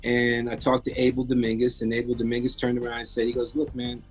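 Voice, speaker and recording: A man's voice: medium pitch, completely flat delivery, fast talker. Man in his fifties, born in France, rambling interview guest, candid, faint music behind. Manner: rambling, candid, restarts often. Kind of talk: casual interview storytelling.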